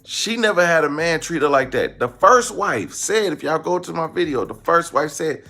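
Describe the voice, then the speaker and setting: A man's voice: fairly high pitch, animated, moderate pace, bright, forceful tone, faint music behind. An American man giving casual comedic commentary, slangy and blunt, repeating phrases for emphasis. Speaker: online commentary host.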